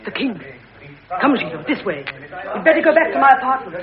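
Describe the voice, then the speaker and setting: Quick, secretive noblewoman, echoey. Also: on the high side, sing-song, a crowd in the background. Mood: furtive, urgent. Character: anxious, secretive noblewoman scheming with servant. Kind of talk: 1950s British radio drama acting. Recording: echoey muffled radio recording, background voices.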